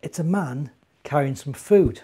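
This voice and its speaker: A man's voice: on the high side, unhurried, mellow, husky. A British man in his forties, a minister, chatting simply to young children as a storyteller and asking them questions. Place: living room indoors.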